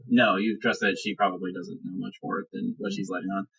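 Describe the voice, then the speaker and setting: Husky dude running a dnd game, describing scenes off the cuff, slightly muffled podcast sound. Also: male voice, lowish voice, steady tone, bright, forceful tone. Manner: improvised, halting descriptive storytelling. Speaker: game master. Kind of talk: narrating a tabletop game.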